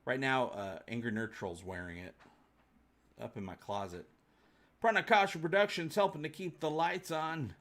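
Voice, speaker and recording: A man hosts a casual livestream for fans. His voice sits at an ordinary male pitch. He rambles in a jokey, colloquial way as he replies to viewers. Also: normal speed, fairly bright tone. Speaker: host of a fan livestream. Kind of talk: casual chat, answering live viewer comments.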